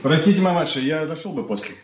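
An actor playing a sullen, desperate, rebellious schoolboy in a radio drama, curt and brooding in manner. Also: male voice, on the high side, expressive, fast, heavy background noise, close to an everyday voice. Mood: sullen defiance. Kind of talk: Russian radio drama acting.

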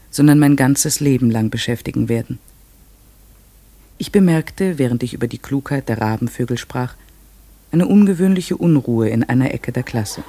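A man acting a thoughtful teacher narrating a radio drama. His medium-pitched voice is measured and precise, reflective in tone, recorded close and dry. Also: dark tone, no room sound, close to an everyday voice. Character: male village schoolteacher narrator recalling strange events, measured and reflective. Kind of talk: literary radio-play narration in German.

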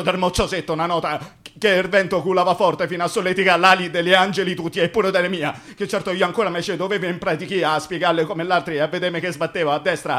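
A man's voice: high voice, sharp tone. A man with a Roman accent playing a dead construction worker in a theatrical radio monologue, bitter and tender, rambling colloquially with incantatory repetitions. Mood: anguished, lyrical. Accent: Roman Italian. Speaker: stage actor.

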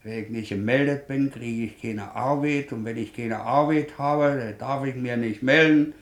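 An actor in a 1950s German radio play playing a stubborn, desperate, jobless ex-convict shoemaker, plaintive and colloquial. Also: male voice, medium pitch, normal speed, lightly acted. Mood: pleading, weary. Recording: roomy early 1950s radio studio.

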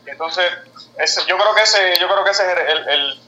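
A man's voice: high voice, normal speed, bright, forceful tone, roomy sound, dull audio. A man in a band giving casual interview answers, stammering and restarting his sentences. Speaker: band member guest.

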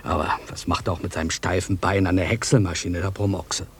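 An actor playing an embittered, melancholy old villager in a German radio drama, with a gravelly, husky voice. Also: male voice, sits low, flat delivery, fairly quick, slight background noise, close to an everyday voice. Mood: bitter, mournful. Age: older.

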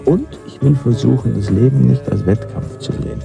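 A man's voice: medium pitch, normal speed, vocal fry, music in the background. An actor playing a laid-back young male friend in a radio drama, giving dry, cynical life advice in a philosophizing way. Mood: dry, musing. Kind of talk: naturalistic radio play dialogue.

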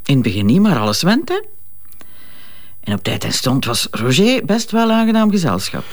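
A woman's voice: very deep voice, wildly swooping pitch, normal speed, exaggerated voice. A middle-aged woman with a Flemish accent playing a cynical, blunt betrayed wife in an audio drama. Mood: bitter, resigned. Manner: frank, sardonic, unabashed. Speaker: actor.

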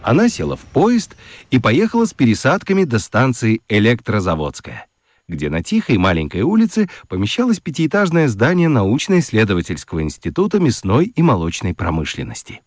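A man narrating a children's radio story expressively, with theatrical emphasis and dramatic pauses. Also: medium pitch, huge pitch swings, dry recording, clean studio sound. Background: music.

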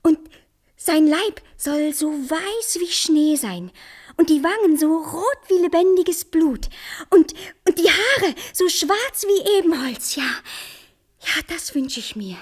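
A woman's voice: very high-pitched, animated, takes it slowly, full character voice. A woman playing a vain, bossy and dreamy young queen in a theatrical fairy-tale radio play. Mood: confiding, dreamy. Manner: haughty, imperious, dramatic.

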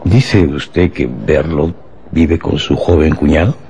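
A shrewd, commanding police chief in a radio drama. His deep voice crackles with fry and takes its time, musing over each question with deliberate authority. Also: dark tone, exaggerated voice. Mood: skeptical, musing.

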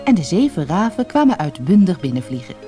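Very animated woman telling a fairy tale. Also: fairly low pitch, brisk, soft background music. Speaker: storyteller. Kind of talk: expressive fairy-tale narration.

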